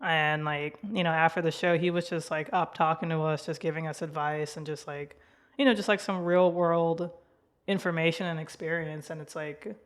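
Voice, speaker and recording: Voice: mid-range voice, fairly even intonation, moderate pace, a bit bright. A podcast host in casual chat, talkative and laughing often, leaning on "like" as filler.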